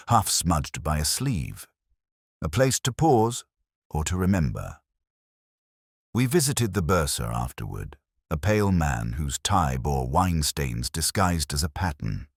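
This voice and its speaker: A man reading a literary detective story in the first person, with measured, reflective, melancholy phrasing. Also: deep voice, breathy edge, dry recording, clean studio sound. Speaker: narrator of a detective mystery story.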